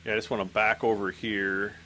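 A man with a hoarse voice giving casual, rambling commentary while playing a game, often clearing his throat. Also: lowish voice, level tone, fairly quick, bright, forceful tone, a little hiss or hum. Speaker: gaming YouTuber.

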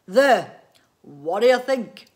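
A woman voicing a grandiose, excitable amateur inventor, a family man, in a booming, theatrical storybook manner. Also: slow pace, heavily acted. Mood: grand, proud. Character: excitable, grandiose, eccentric male amateur inventor, husband and father.

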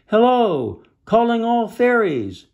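A man's voice: fairly low pitch, expressive, normal speed, warm tone, breathy edge, lo-fi sound. A man voicing a curious, watchful young girl adventurer in a wondering, alert storybook read-aloud. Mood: calling out.

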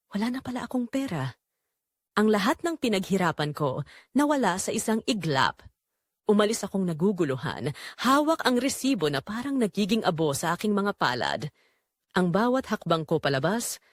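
A woman's voice: on the low side, no echo, clean studio sound. A woman storyteller reading a scripted first-person romance drama in a dramatic narrating style.

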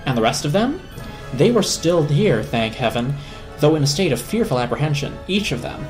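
A man's voice: fairly high pitch, big pitch swings, normal speed, darkish tone, soft background music. A man narrating a children's story as a dramatic reading.

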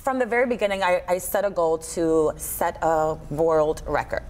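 A woman's voice: lowish voice, lively intonation, moderate pace, darkish tone. A woman around thirty, a performer being interviewed as a guest, talking casually and informally in a TV studio. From Trinidad and Tobago and Buffalo, USA. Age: 30s. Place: TV studio kitchen set, indoors. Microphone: lapel mic.

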